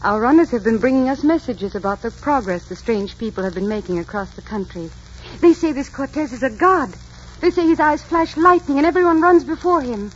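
An actress playing a gentle young Aztec princess, formal and earnest, in a 1940s radio romance. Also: female voice, a little high, lightly acted. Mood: awed, hesitant. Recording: dull, hissy old radio recording.

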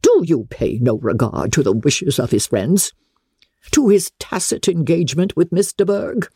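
A woman's voice: low voice, expressive, normal speed, warm tone, slightly rough, no echo, studio quality, full character voice. A woman reading a classic novel aloud, voicing an imperious, indignant older aristocratic lady with pompous, emphatic delivery. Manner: pompous, declamatory, emphatic, formal. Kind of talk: dramatic audiobook reading of classic literature.

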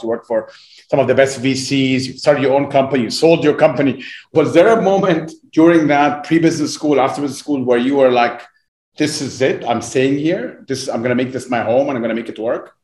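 A man's voice: medium pitch, a little fast, a little mellow. Echoey, reflective male interviewer. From Egypt. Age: 40s. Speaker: interview host. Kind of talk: conversational long-form interview. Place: remote video call, echoey room. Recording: echoey room over video call.

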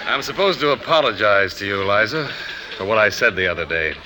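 A man's voice: on the low side, sing-song, brisk, pushed and bright, full character voice, low-quality recording. An actor playing a cocky, plain-spoken magazine man in a 1950s radio drama. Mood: gruff, candid. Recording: vintage 1950s radio broadcast.

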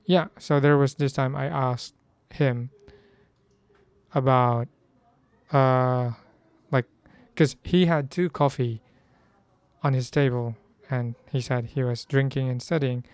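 A young man from the Philippines talks in a hoarse, chatty way, often stopping to restart his sentences. His voice is mid-pitched and smooth under the strain. The sound is close and dry with no room echo. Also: steady tone, slow, pauses often, darkish tone. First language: Filipino language. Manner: chatty, self-correcting, reads phrases aloud. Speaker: language learner. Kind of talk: casual vlog about learning Korean.

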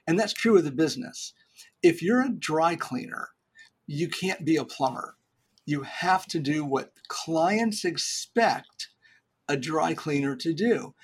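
A middle-aged man, a podcast guest, giving business advice in a lively, joking, explanatory way. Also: fairly high pitch, husky. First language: English. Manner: animated, jokey explainer.

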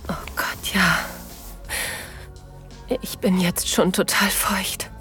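An actress playing a submissive, aroused woman, narrating intimately in a breathy, whispery voice in an erotic audio drama. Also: fairly low pitch, flat delivery, a bit bright. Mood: aroused, yielding. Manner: slow, sensual delivery. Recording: music behind the voice.

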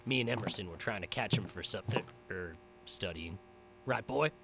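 An actor playing a gruff but kindly rustic frontiersman in an audio drama, talking in a folksy, slangy drawl. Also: lowish voice, moderate pace. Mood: easygoing, friendly. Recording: loud background hum and noise.

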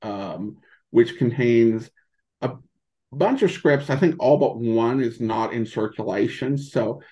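A man speaking as a podcast guest in casual interview chat, halting and meandering as he restarts phrases. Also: mid-range voice, mellow, highs cut off. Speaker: podcast guest and old-time radio podcaster.

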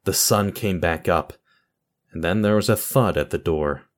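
Low-voiced man narrating over music. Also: measured pace. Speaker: host and narrator of an audio drama podcast. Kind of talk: scripted narration and podcast announcements.

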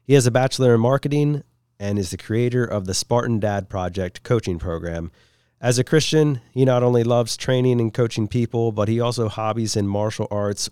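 A man hosting a podcast, introducing a guest and asking questions in a steady, measured way. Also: fairly low pitch, clean tone, no echo, studio quality. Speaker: podcast host. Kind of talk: reading a guest introduction, then interviewing.

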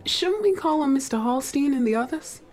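A woman playing a dutiful young assistant, polite and cautious, gently pressing her employer with dry deference. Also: sits high, expressive, moderate pace. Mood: dutiful worried.

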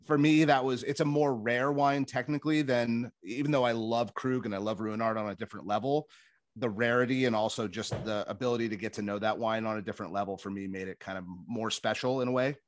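A man's voice: mid-range voice, steady tone, quick pace, dry recording. A man chatting casually in a group as a wine professional, explaining things with self-assurance. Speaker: wine professional and cooking competition contestant.

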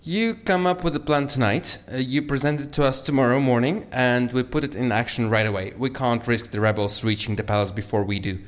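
A man acts out a scheming government agent for audio fiction. His voice is middling in pitch. He is businesslike and pressing, with anxiety under the schemes. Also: a little fast, hardly any pauses, close to an everyday voice. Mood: brisk. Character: scheming, anxious male Russian government agent.

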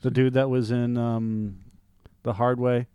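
A middle-aged man co-hosting a casual movie debate, blunt and quick to contradict. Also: medium pitch, flat delivery, dark tone, clear, resonant tone, no echo, studio quality. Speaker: podcast co-host.

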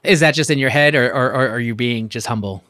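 A man hosting a design podcast, reading a scripted introduction and then asking a guest questions in a lively conversational way. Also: medium pitch, fairly quick. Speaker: podcast host. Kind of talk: podcast interview.

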